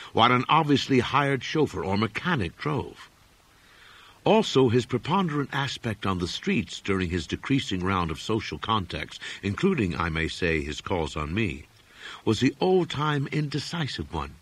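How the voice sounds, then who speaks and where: Expressive husky man reading a story. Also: mid-range voice, dry recording. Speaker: audiobook narrator. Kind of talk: scripted literary horror story narration.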